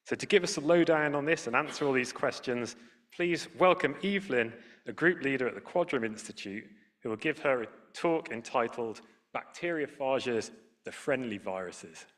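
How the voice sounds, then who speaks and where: A man's voice: mid-range voice, expressive, moderate pace, a bit bright. A man hosting a science event, thanking one speaker and introducing the next in an upbeat, scripted way. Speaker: event host.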